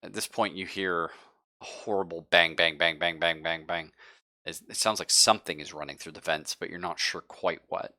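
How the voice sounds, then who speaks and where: A man's voice: sits low, fairly even intonation, normal speed, bright, forceful tone, no echo, studio quality. A man casually running a live tabletop roleplaying game as game master, with slangy, profane narration.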